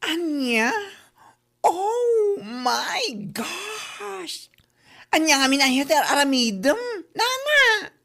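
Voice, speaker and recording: A woman's voice: high-pitched, big pitch swings, very slow, vocal fry, a bit bright, full character voice. A woman with an Ilocano accent playing a dramatic, gossipy confidante who exclaims theatrically in a comedy drama. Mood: shocked.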